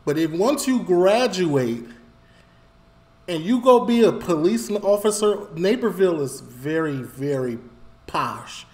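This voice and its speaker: Man, lively rambling talk. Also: high voice, slow pace. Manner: animated, rambling, repeats phrases, gestures while talking. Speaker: reaction video host. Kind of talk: casual commentary.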